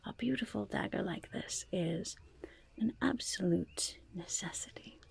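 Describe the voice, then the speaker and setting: Woman playing a whispering, husky sly goblin peddler. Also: mid-range voice, measured pace, darkish tone, heavily acted. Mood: sly, delighted. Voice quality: breathy, husky whisper with creaky edges. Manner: wheedling salesmanship, speaks of self in third person. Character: sly, chatty goblin market peddler of dodgy wares. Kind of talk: whispered ASMR roleplay.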